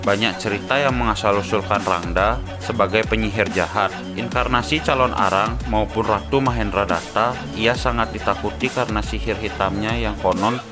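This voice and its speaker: Low male narrator over prominent music. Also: normal speed. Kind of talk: scripted narration.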